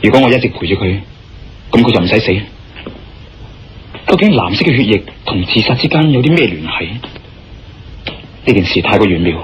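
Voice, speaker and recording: A man with a Hong Kong Cantonese accent acting a resolute, inquisitive investigator in a radio drama, deliberate and questioning. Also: fairly low pitch, very animated, background noise. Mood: troubled. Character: resolute, inquisitive adult male investigator and hero. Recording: dull lo-fi radio drama under loud music.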